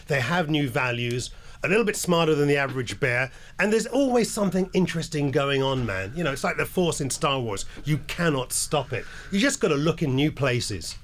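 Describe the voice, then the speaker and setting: A man's voice: a little high, expressive, a little fast. Laid-back jet-lagged man. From England. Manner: laid-back, wry storyteller. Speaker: guest. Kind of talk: casual radio interview.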